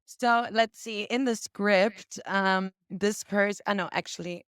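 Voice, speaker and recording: A woman's voice: mid-range voice, fairly even intonation, slow, fairly bright tone, smooth, dry recording, clean studio sound. A woman guest chatting casually on a video call, walking through a casting website and acting out an example search as she goes. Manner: chatty, acts out scenarios as she explains. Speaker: guest demonstrating a casting database. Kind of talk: casual explanatory chat.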